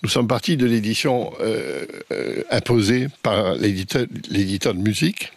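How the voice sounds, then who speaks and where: A gravelly, slightly husky male voice, recorded close and dry. He talks like a thoughtful interview guest, pausing and restarting as he hunts for the right word. His pitch sits in an ordinary middle range. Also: expressive, no room sound. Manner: thoughtful, searching for words, frequent restarts. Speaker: opera stage director and translator.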